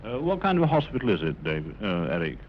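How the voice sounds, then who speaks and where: A man's voice: lowish voice, wildly swooping pitch, fast, slight background noise. A man interviewing and reporting hesitantly for 1950s radio. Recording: muffled 1950s recording, background voices.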